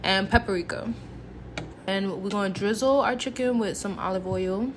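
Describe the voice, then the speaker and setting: A woman's voice: medium pitch, moderate pace, slight background noise. A woman giving a casual, playful cooking tutorial, chatting to viewers informally as if they were friends. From Haitian background. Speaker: home cook, recipe vlogger.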